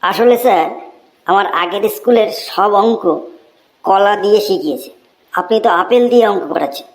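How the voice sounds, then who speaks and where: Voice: mid-range voice, fairly quick, natural voice, low-quality recording. An actor voicing a cheeky young schoolboy with an earnest, deferential, pleading manner in a cartoon comedy. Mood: mock earnest. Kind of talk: exaggerated Bengali cartoon comedy dubbing.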